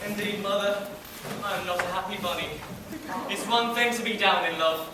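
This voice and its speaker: A man's voice: sits high, moderate pace, lightly acted. A man playing a lovesick, exasperated young daughter in a stage pantomime, complaining primly and melodramatically. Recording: noisy echoing hall from far off.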